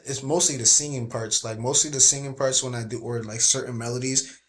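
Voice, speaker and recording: A young man talking casually as a podcast guest, with a soft voice and a relaxed, rambling way of speaking full of fillers. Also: medium pitch, fairly quick.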